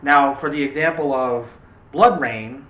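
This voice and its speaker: A man's voice: medium pitch, measured pace, a bit bright, muffled recording. A man co-hosting a casual, opinionated movie discussion, talking emphatically and straight to the viewers. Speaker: co-host of a movie discussion show. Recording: roomy echo with faint background noise.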